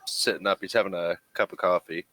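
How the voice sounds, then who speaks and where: A man's voice: low-pitched, fairly even intonation, brisk, bright, forceful tone, no room sound. A man acting as game master, narrating a tabletop role-playing game in a casual way.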